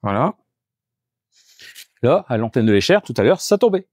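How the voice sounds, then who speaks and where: A man's voice: mid-range voice, big pitch swings, moderate pace, a little mellow, no echo, studio quality. A man working as a geobiologist, walking through a house and explaining each step of his work to the camera. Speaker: geobiologist doing an energy clearing. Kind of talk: on-the-spot demonstration with explanation. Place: indoors in a house, moving between rooms.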